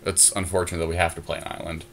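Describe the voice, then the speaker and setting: A man's voice: deep voice, level tone, rapid-fire. A man co-hosting a podcast, talking through card picks in casual chat, thinking aloud with many fillers. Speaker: podcast co-host.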